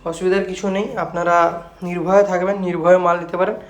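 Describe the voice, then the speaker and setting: A man's voice: high-pitched, little pitch movement, brisk. A man with a West Bengal Bengali accent presenting products in a casual vlog, repeating himself like a salesman and mixing in English words. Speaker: speaker repair shop owner and product presenter.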